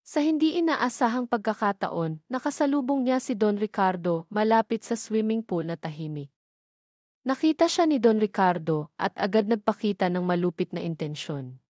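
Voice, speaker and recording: A woman narrating a romantic audio drama in a steady, even read. Her voice sits at an ordinary mid pitch. It is recorded close and dry, with no room sound. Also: normal speed, no echo. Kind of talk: scripted story narration.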